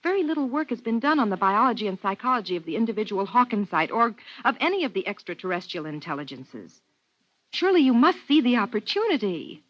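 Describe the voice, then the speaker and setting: Earnest wife in vintage radio drama. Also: female voice, a little high, big pitch swings, fast talker, no echo. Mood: earnest, insistent. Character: married woman biologist, earnest, persuasive, quietly stubborn. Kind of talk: 1950s radio drama acting and narration. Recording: dull 1950s radio recording, faint music.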